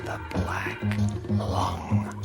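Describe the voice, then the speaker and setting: A roguish casino cheat with a very low, slow voice. He is suave and knowing, drawing out his words in a radio drama, with music underneath. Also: little pitch movement, gravelly, heavily acted. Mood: smooth, seductive. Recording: music playing behind the voice.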